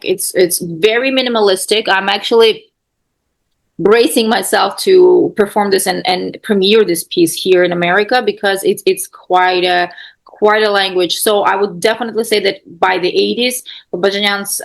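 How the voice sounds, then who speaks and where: A woman musician answering questions in a spontaneous, thoughtful way, halting and repeating phrases for emphasis as she explains. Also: medium pitch, fairly even intonation, gravelly. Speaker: musician and guest lecturer on a composer.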